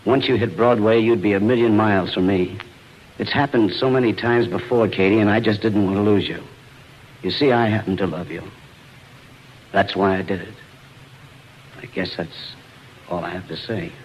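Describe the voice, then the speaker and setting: An actor playing an earnest, smooth-talking man in love in a 1940s radio drama. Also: lowish voice, normal speed, pauses often, close to an everyday voice. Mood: earnest, confessing. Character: earnest, smooth-talking male suitor, cafe schemer in love. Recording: muffled, hissy 1940s radio broadcast.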